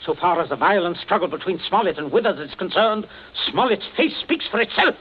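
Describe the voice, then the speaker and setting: An actor playing a flustered, scheming older gentleman with genteel, blustering speech, in 1940s radio acting. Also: high-pitched, expressive, a little fast, a little hiss or hum. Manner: genteel, blustering, nervous laughs. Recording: dull old radio recording.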